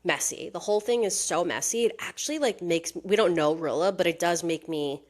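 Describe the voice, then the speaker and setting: Casting: woman co-host, a bit low-voiced, chatty, hedging, slips into quoted voices. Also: brisk. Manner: animated, acts out quoted lines in voices; hedging, pieces rumors together. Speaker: podcast co-host. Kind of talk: casual gossip chat.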